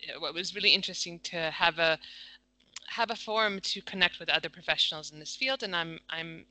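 Woman, very bright crisp voice, hesitant intro, dry close sound. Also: lowish voice, fairly quick, no room sound, rough recording. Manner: soft, hesitant, many ums. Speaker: nonprofit worker. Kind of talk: self-introduction on a video call. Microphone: video call.

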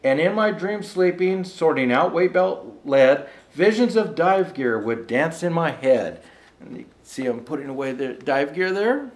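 An older man playing a jolly old Santa Claus, gently reading a rhyming storybook aloud with chatty asides. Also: on the high side, animated, measured pace. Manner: gentle storyteller, showing pictures to listeners. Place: indoor room set with fireplace and tree. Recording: echoey room.

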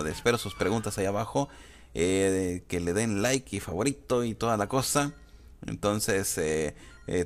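A man chatting informally and hesitantly as a YouTuber, using slang and repeating himself. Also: mid-range voice. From Guatemala. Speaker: YouTuber answering viewer questions. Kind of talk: casual chat over gameplay footage. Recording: clear background noise.

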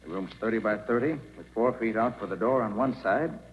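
An actor playing a shrewd, self-assured private detective in a 1940s radio drama, speaking crisply and with command. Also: male voice, fairly low pitch, fairly quick, natural voice. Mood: brisk, assured. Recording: muffled, noisy 1940s radio recording.

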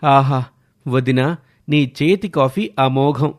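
Guy playing an eager young man in a cartoon, affectionate, a bit teasing, medium pitch. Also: slow pace, natural voice. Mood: cheerful. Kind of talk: scripted cartoon dialogue.

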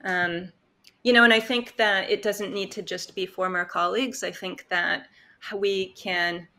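Bright-voiced woman, thoughtful guest. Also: medium pitch, steady tone, measured pace. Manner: thoughtful, reflective, many fillers. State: slightly hoarse. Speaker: podcast guest, consultant. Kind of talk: casual interview talk. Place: home room, indoors. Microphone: earbud headset on video call.

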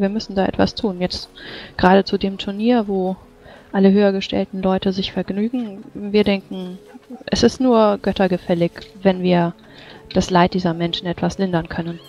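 A woman's voice: medium pitch, a little mellow, lo-fi sound. A woman talking in character as a player in a tabletop role-playing game. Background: background music.

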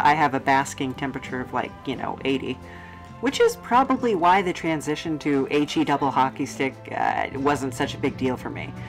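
Female vlog host, low register, playful rambling voice-over, light music bed. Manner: playful, wry, rambling asides. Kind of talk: casual, chatty travelogue voice-over. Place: studio voice-over.

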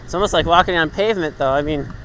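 A man's voice: on the high side, very fast, a bit bright, rough recording. A young man filming on a lake beach with his phone, chatting and calling short commands to his dog. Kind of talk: casual chat. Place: sandy lake beach outdoors, waves behind. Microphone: handheld phone camera. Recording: faint surf and wind noise.

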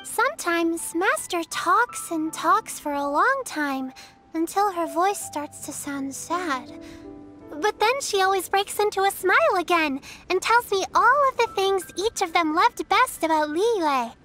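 Very high bright voice, sweet little girl, bubbly, game audio with faint music. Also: expressive, measured pace, exaggerated voice. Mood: cheerful. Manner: bubbly, earnest, chatty. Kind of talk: video game character dialogue.

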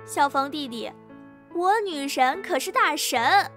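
A cheeky, teasing teenage girl voiced by a woman in a campus radio drama. Her voice is high, with long reverb and music underneath. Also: animated, slow pace, fairly bright tone, exaggerated voice. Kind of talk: amateur campus audio drama acting.

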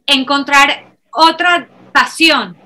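Bright, high-voiced young woman hosting. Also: very slow. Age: young adult. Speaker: host. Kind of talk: casual interview chat. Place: home studio with keyboard and speakers.

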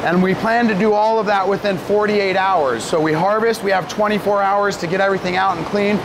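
An American man in his forties explaining things step by step in a plain-spoken way as he leads a facility tour. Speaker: company president giving a facility tour. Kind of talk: explanatory guided tour, unscripted talk. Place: large indoor grow room with fans running. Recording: loud rumbling fan and machinery noise.

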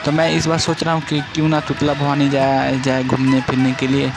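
A man's voice: mid-range voice, completely flat delivery, fairly quick, noisy background. A young man casually narrating a night motorbike ride through city streets, chatting with his viewers and asking them to like and subscribe. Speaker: vlogger. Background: loud background music.

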